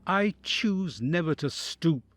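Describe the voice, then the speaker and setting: A man's voice: fairly high pitch, expressive, slow, fairly bright tone, no room sound, soft background music. A man playing a troubled, fearful and sympathetic envoy in an audio drama, delivering an intense, reflective interior monologue in theatrical style. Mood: dread. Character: troubled male envoy, sympathetic, fearful, inwardly agonised listener.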